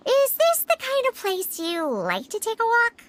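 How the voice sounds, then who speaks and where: A woman's voice: extremely high pitch, huge pitch swings, measured pace, full character voice. A woman voicing a perky, exclamatory young girl in an anime video game. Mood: curious, casual. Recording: faint music behind.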